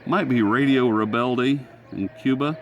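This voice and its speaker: A man's voice: medium pitch, unhurried, highs cut off, noisy background. An American man hosting a casual hobby radio demonstration outdoors, talking in a folksy, rambling way. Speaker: host. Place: outdoors in sunlight.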